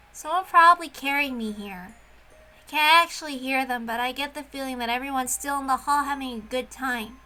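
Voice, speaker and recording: Dazed young girl narrator for a visual novel voiced by a woman: high, lonely, simple and wistful delivery. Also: sharp tone.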